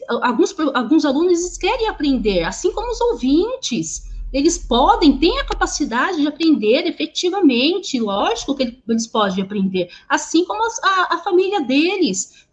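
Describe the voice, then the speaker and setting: A woman interpreting sign language into speech over a video call, halting, with many restarts and repeated words. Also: high-pitched. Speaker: sign language interpreter voicing a deaf teacher.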